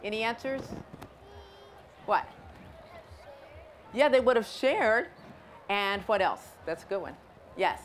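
A woman's voice: medium pitch, expressive, takes it slowly, pauses often. A middle-aged American woman reading a picture book to children, conversational, explaining and checking with her listeners. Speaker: storyteller. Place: outdoors in a garden. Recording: clear outdoor ambient noise.

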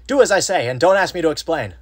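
A man's voice: a little high, animated, fast talker, pitched above the performer's own voice. A man voicing a terrified, doomed male explorer who desperately warns his friend in frantic, urgent cries in a horror story. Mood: wild consternation.

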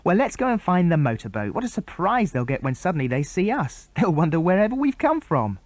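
A man reading aloud and voicing an excitable, impulsive young boy. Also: high voice, fairly quick, no echo, pitched above the performer's own voice. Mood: excited.

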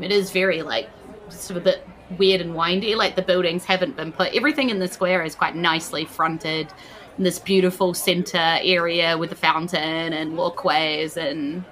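Bright-voiced New woman narrating a game. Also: lowish voice. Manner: informal, descriptive. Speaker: game master. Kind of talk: improvised narration of a tabletop game.

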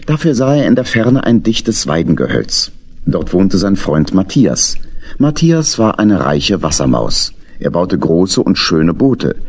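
A man's voice: low voice, very animated, unhurried, a little mellow. A man narrating a scripted children's story as the narrator of an audio play.

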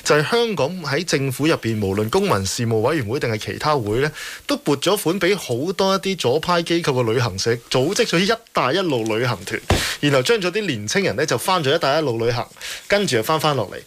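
A man's voice: on the high side, sing-song, fast talker, fairly bright tone. A man with a Hong Kong Cantonese accent co-hosting conversational political commentary, countering with a wry manner. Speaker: radio co-host. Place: radio studio.

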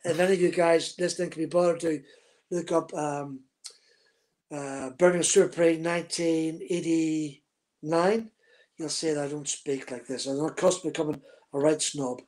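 A husky-voiced man in his fifties tells his story in close detail, gesturing as he goes. His voice sits a little high for a man. He is speaking into a webcam on a video call from a room at home. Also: fairly even intonation, normal speed, a little mellow. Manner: vivid, detailed storyteller, talks with his hands. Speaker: guest, former racing driver.

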